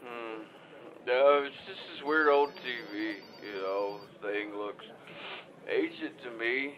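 A man's voice: mid-range voice, slow pace, close to an everyday voice. A man playing an anxious, clueless hotline caller in an audio drama, hesitant, flustered and meandering over a muffled line. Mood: nervous, rambling. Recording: dull, muffled phone-call sound.

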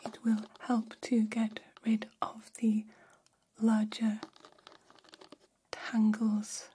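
Woman acting curious alien, ASMR roleplay, whispered, mellow, stilted formal phrasing, gentle, very flat intonation. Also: a little high, very slow, a little hiss or hum.

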